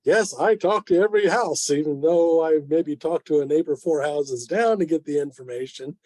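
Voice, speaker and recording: Man, fairly high voice, dry close sound, informal explaining, wry asides, video call. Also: normal speed, a little mellow, no echo. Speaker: club member presenting. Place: online video meeting.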